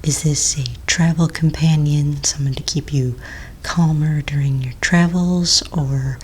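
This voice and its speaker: A woman playing a patient, polite office manager in a soft-spoken ASMR roleplay, breathy and half-whispered, asking gentle official questions with small hesitations. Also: low-pitched, fairly even intonation, unhurried. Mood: gentle, explanatory. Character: patient, polite female office manager registering fantasy pets. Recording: close mic, faint noise, keyboard clicks. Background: computer keyboard typing and clicks.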